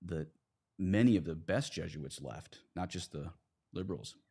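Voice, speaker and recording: A man talking through his points in a casual podcast discussion, often halting and correcting himself as he explains. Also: sits low, animated, dark tone, husky. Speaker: priest and podcast guest.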